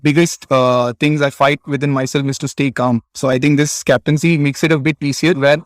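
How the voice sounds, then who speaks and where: This is a young man with a Tamil-region Indian English accent, speaking at a middle pitch for a man. He answers interview questions in a halting, casual way, full of "uh" and "like" and restarting his sentences. The sound is dry and close. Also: fairly even intonation, no room sound. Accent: Indian English, Tamil Nadu. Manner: hesitant, many restarts and fillers. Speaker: interview guest, cricket player.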